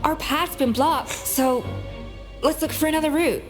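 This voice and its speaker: A woman's voice: sits high, measured pace. A woman playing a poised, stern young female chef in a video game, assertive and indignant in manner. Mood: exasperated, determined. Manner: scolding, incredulous, assertive. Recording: game music behind.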